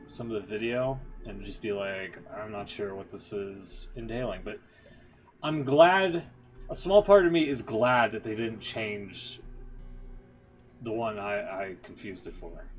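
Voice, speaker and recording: A man with a fairly low voice hosts a casual gaming stream. He talks informally and thinks aloud, slipping into muttered asides. Music plays clearly behind him. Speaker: livestream host, gamer. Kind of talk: casual livestream game commentary. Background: background music.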